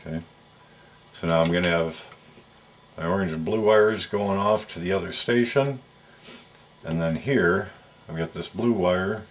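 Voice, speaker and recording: A man with a deep, low voice walks through a practical task one step at a time. He explains methodically and in detail as he works, like a patient how-to instructor. There is faint noise behind his voice. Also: measured pace, long pauses, highs cut off. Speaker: electronics tutorial presenter. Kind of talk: step-by-step hands-on instruction, talking while working.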